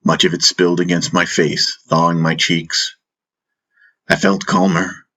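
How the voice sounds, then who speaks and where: A man reading a first-person fantasy audiobook as narrator, in a solemn, deliberate, dramatic style. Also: low voice, flat delivery, sharp tone, husky. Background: faint music.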